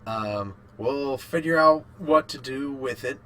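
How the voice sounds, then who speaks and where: A man co-hosting a podcast in casual chat, rambling and haltingly repeating his phrases. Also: medium pitch, sharp tone. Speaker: podcast co-host. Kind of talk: casual chat about video games.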